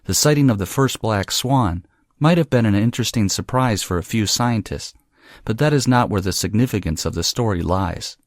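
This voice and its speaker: A man reading academic practice texts aloud from a script, deliberately and phrase by phrase. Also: on the low side, no echo, clean studio sound.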